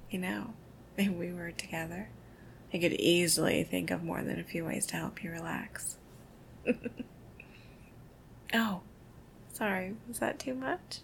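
A woman doing a whispered ASMR girlfriend roleplay, soft, intimate and affectionate. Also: on the low side, moderate pace, gravelly, a little hiss or hum.

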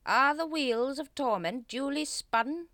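A grand, exasperated master of a secret cult, acted out by a woman, pitched very high and forcefully loud. He is imperious and sarcastic, declaiming like a storybook tyrant who has run out of patience. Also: animated, takes it slowly, dry recording, clean studio sound, full character voice. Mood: grandiose. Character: pompous, exasperated male leader of a secret cult. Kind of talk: storybook character voice in audiobook.

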